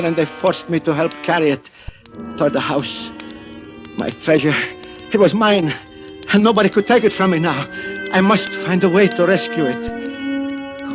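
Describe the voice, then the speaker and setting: High-voiced, greedy old man, overwrought and haunted, played by a male actor for vintage radio horror, with music behind. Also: lightly acted. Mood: brooding narration. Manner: pleading, stammering, overwrought. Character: frantic, greedy old man guarding his treasure. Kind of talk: 1940s radio drama acting, first-person narration.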